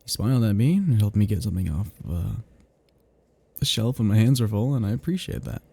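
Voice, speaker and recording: A man playing an ancient, flirtatious, possessive vampire in an intimate ASMR roleplay, speaking to the listener softly and huskily in a halting, teasing, casually menacing way. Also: fairly low pitch, expressive, exaggerated voice. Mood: infatuated, creepy. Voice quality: soft, husky, gravelly.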